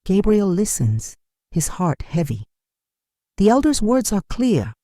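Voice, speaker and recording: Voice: mid-range voice, big pitch swings, unhurried, warm tone, no echo, studio quality. A narrator telling a dramatic folktale in a solemn, scripted storytelling style.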